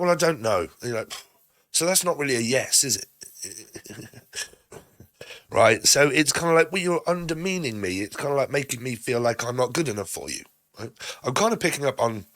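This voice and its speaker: A British man with a hoarse voice from a lingering cough, giving a casual, rambling tarot reading and acting out both sides of imagined conversations. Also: medium pitch, fairly bright tone, dry recording. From Britain. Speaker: tarot reader.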